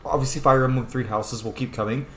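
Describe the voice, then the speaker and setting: A man's voice: mid-range voice, steady tone, quick pace. A man hosting a gaming let's play, talking casually and jokingly, with some swearing. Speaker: host of a gaming channel. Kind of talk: casual let's play commentary, role-playing in-game dialogue. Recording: roomy echo, faint background noise.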